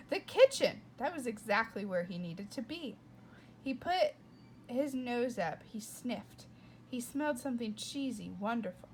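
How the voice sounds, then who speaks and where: A woman reading a children's book aloud with expressive, playful and dramatic narration. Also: a little high, a little hiss or hum. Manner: dramatic, playful delivery with whispers and asides. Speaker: read-aloud storyteller.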